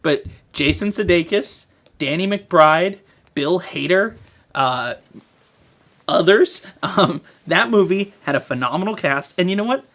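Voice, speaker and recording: A man's voice: sits high, expressive, measured pace, fairly bright tone. A man co-hosting a podcast, reviewing films in an easygoing, jokey, conversational way. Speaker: podcast host. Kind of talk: casual film review chat.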